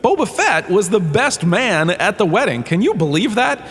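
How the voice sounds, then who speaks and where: A man's voice: high voice, very animated, moderate pace, a bit bright, husky, exaggerated voice. A man playing a washed-up holodrama star who lectures in a comedic audio drama, chatty and wry, with theatrical storytelling. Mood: chatty, uneasy. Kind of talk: comedic scripted lecture narration. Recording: long reverberant hall, faint ambient noise.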